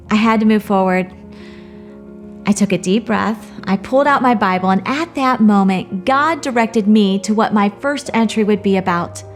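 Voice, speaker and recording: A woman teaching a Bible study, speaking in an earnest, personal way with touches of light humor. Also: medium pitch.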